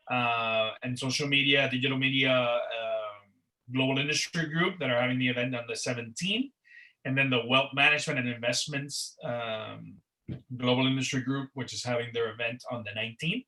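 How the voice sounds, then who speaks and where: A man's voice: medium pitch, bright, forceful tone, poor audio. A man who organizes a career network gives rambling closing remarks on a video call, repeating words and using many "uh" and "you know" fillers. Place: Miami.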